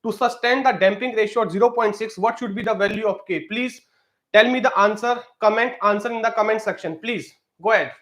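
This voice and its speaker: A man's voice: high voice, fairly quick, a bit bright. A man runs a live-streamed exam prep lesson. He leans on fillers like "you know" and keeps asking viewers to post answers in the comments. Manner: repeats pleas for comments, many fillers.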